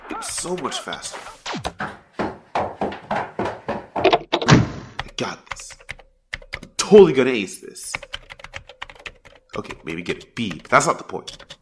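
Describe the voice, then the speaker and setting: A woman's voice: a bass voice, normal speed, pauses often, background noise. A woman playing a wisecracking, self-deprecating teenage student and secret vigilante in an audio drama. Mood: playful, self-mocking. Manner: wry, animated, self-deprecating.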